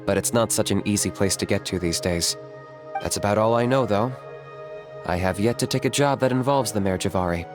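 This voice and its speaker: A man's voice: lowish voice, a little fast, music in the background, lightly acted. An actor playing a cool, matter-of-fact young male warrior in a game, terse and composed.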